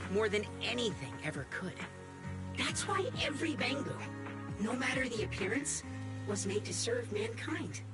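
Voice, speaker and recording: A woman's voice: medium pitch, lightly acted. A woman voicing a small, worn-out old combat robot for a video game, formal, earnest and resigned. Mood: earnest, proud. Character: battered old obsolete combat robot, small, melancholy and dutiful. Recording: music behind the voice.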